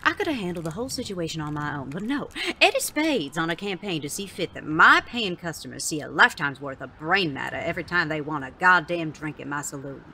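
A woman's voice: deep voice, lively intonation, fairly quick, hardly any pauses, pushed and bright, husky, exaggerated voice. A woman playing a fiery, no-nonsense saloon owner in a Western audio drama, scolding in feisty frontier speech.

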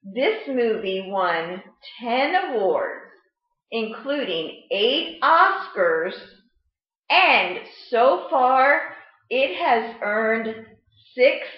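A middle-aged American woman presenting a storytime lesson for children, explaining in a deliberate, animated way. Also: a little high. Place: indoor room at home, seated at a table. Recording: muffled webcam sound in echoey room.

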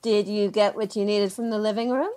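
A woman's voice: medium pitch, steady tone, fairly quick, a little mellow, natural voice. A woman playing a chatty, hospitable small-town host in an audio drama, effusive, folksy and coaxing. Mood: eager, hospitable. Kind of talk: naturalistic audio drama acting.

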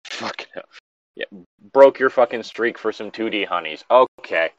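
A man's voice: fairly low pitch, animated, normal speed, a bit bright, dry recording, muffled recording. A man giving casual, sweary and sardonic commentary as he reads and reacts to a story. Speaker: host reading and reacting to a horror story.

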